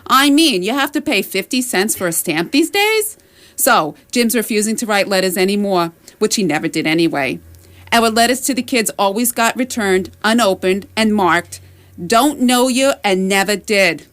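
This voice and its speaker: A woman's voice: medium pitch, lively intonation, normal speed, a bit bright, natural voice. A fretful, talkative wife in a comic radio sketch, played by a woman. Her mid-range voice rambles plaintively through her household worries. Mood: exasperated, rambling. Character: chatty, fretful middle-aged wife with money worries. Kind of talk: comedic radio sketch acting.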